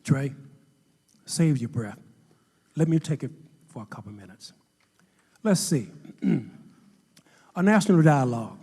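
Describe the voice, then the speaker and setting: A man's voice: mid-range voice, very animated, slow, long pauses, dark tone, husky, full character voice. A man playing a bitterly sarcastic narrator delivering social criticism in an audio drama. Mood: bitterly weary. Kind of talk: scripted audio drama monologue.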